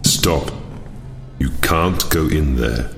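A stern angel guard in an audio drama, with an extremely low and gravelly voice. He speaks slowly and commands with quiet menace. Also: soft background music, full character voice. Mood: stern warning. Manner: slow, commanding.

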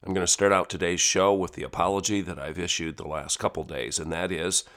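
A man hosting his own talk show, hoarse and growly from a head and chest cold, delivering an emphatic opinion monologue. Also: low-pitched, fairly even intonation, fairly quick, a bit bright. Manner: emphatic, piling up lists of words. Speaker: podcast and radio host.

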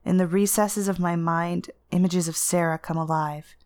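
A woman reading literary first-person fiction as narrator, with an intense, deliberate and expressive dramatic delivery. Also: fairly low pitch, no echo. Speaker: story narrator.

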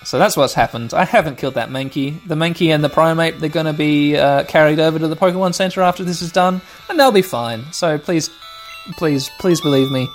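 Male gamer host, thirties, higher-pitched and slightly hoarse, casual mock-dramatic commentary with rambling asides, music underneath. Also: brisk. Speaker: let's play host. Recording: music playing behind the voice.

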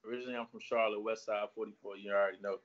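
A man's voice: lowish voice, level tone, racing. A man from North Carolina joining a podcast by video call, talking in friendly, hyped slang. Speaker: podcast guest. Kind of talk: casual interview chat. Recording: muffled lo-fi call audio.

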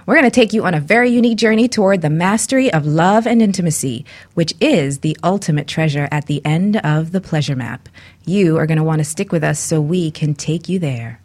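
Lower-pitched woman hosting flirty radio talk. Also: lively intonation, brisk, darkish tone. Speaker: radio show host, intimacy coach. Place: radio studio.

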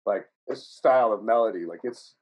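A man's voice: fairly low pitch, moderate pace, breathy edge, dull audio. A man talking as a musician guest in a casual podcast chat, rambling and profane, with frequent laughs.

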